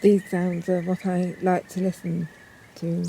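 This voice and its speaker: Woman talking slow n thoughtful, halting, poetic doc feel, bit of outdoor noise behind. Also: fairly low pitch, completely flat delivery, gravelly, dark tone. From northern England. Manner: contemplative, halting, with pauses. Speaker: poet in an audio documentary. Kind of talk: reflective, unscripted documentary talk with poetic passages.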